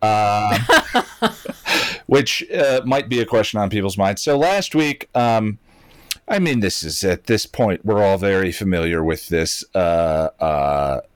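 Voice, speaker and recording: A man's voice: on the low side, slow, smooth, no room sound, studio quality. A man hosting a casual chat, recapping and steering in a hesitant, self-deprecating way. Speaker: show host.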